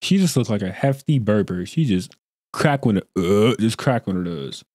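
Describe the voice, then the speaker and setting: A man's voice: fairly low pitch, animated, normal speed, a little mellow, no echo, clean studio sound. Teasing young man streaming reaction jokes. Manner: joking, teasing, mock-apologetic asides. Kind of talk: casual reaction commentary. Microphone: desk mic on a boom arm with headset.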